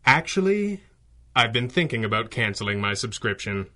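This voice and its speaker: A man's voice: mid-range voice, big pitch swings, moderate pace, lightly acted. A man playing a suave, confident masked crimefighter in a pulp radio drama, wry, dry and theatrical.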